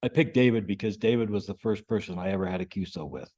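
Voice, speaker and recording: Low-voiced man teaching an informal class. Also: quick pace, a little mellow. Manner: explanatory, checks in with "right?" tags. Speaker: amateur radio class instructor. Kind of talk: informal club lesson to a group.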